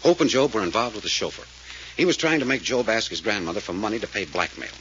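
An actor playing a decisive, serious detective with a clipped, commanding manner in an old-time radio drama. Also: male voice, fairly low pitch, lively intonation, fast talker, fairly bright tone. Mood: grave, explanatory. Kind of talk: 1940s radio drama acting. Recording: muffled old radio recording, faint noise.

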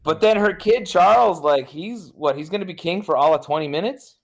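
A man's voice: sits high, a little fast, gravelly. A young American man, a guest in a casual podcast chat, rambling and swearing, with jokey acted-out quotes. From United States.

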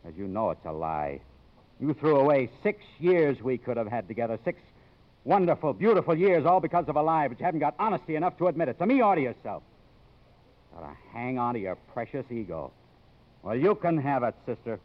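A man plays a wry, wounded suitor in a 1950s radio play. His slangy, sardonic talk swings freely in pitch. The old broadcast sound is muffled. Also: big pitch swings, a little fast, lightly acted. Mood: bitter. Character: brash wisecracking spurned male suitor, wry and wounded.

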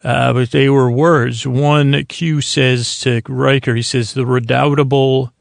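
Male podcast host, gravelly fry, fairly even pitch, halting and circular bedtime rambling. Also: no room sound, clean studio sound. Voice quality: creaky voice. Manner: meandering, halting, circles back on himself. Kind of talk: rambling bedtime-story podcast intro monologue.

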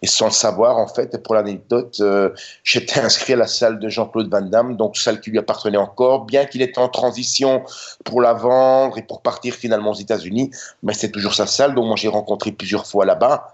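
A man's voice: fairly low pitch, fairly even intonation, brisk, muffled recording. A man in his fifties with a Belgian French accent, telling stories as a podcast guest in a rambling, chatty way, often tacking on little tag words. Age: 50s. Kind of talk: casual storytelling interview.

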